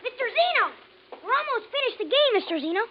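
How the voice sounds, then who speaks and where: A performer playing an eager, polite little Martian youngster in an old radio drama, piping and excitable. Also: very high voice, fast talker. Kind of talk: 1950s radio drama acting. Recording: muffled, very noisy vintage radio recording.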